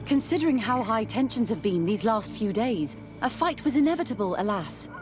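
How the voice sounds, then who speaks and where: Game role: passionate scholar nun, lightly high register, formal yet emotive, prominent underscore. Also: female voice, big pitch swings, fairly quick, over music. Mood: sorrowful. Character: earnest, passionate religious sister and scholar. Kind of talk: dramatic video game acting.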